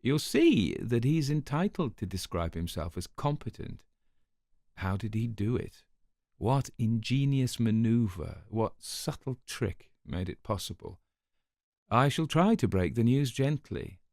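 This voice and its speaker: A man reading a scripted spy story as a storyteller, in a wry, dryly mocking and measured manner. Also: medium pitch, big pitch swings, a little mellow, no echo, clean studio sound. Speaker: storyteller narrating a spy tale. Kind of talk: dramatic scripted narration.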